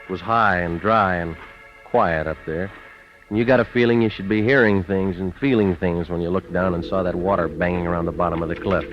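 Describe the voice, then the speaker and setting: A muffled old radio recording with music behind it features an actor as a hard-boiled private detective. He is very low in pitch, deadpan and cynical. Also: brisk, close to an everyday voice. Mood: wry narrating. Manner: deadpan, clipped, sardonic. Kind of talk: 1940s radio noir acting and narration.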